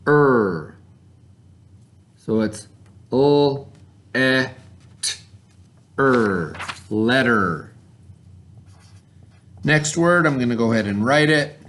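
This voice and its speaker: Man, primary teacher type, unhurried and patient, question-and-answer phonics delivery, faintly noisy recording. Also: mid-range voice, a bit bright. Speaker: elementary school teacher. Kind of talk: read-along spelling lesson for children at home.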